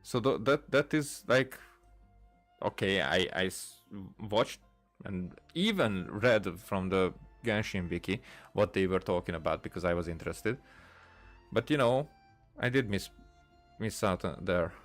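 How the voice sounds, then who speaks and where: Low-voiced man commentating a game playthrough. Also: lively intonation, measured pace, soft background music. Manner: hesitant, stumbling when reading aloud. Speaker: gaming video host. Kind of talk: casual game playthrough commentary, reading dialogue aloud.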